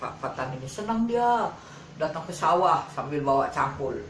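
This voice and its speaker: A woman tells a children's fable in a slightly low voice, informal and repetitive, as if making it up as she goes. She is some distance from the mic in an echoey space, with faint noise behind her. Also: expressive, normal speed. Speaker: storyteller.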